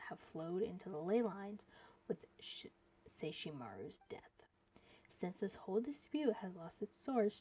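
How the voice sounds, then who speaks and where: A woman's voice: medium pitch, darkish tone, husky, highs cut off. A woman live streaming a game, reading dialogue aloud and reacting, sometimes stumbling over the words.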